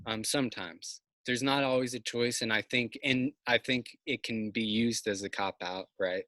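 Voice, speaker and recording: A man in his thirties, a farmer, talking thoughtfully on a video call with many pauses and fillers. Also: mid-range voice, steady tone, pushed and bright, no echo. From Massachusetts. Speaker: farmer, interview guest. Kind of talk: casual video call conversation. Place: outdoors by a house's siding. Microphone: video call, laptop or phone mic.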